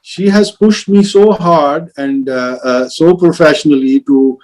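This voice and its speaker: A man moderating an online travel industry panel on a video call, halting with many fillers and restarts. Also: a little high, a little mellow, rough recording.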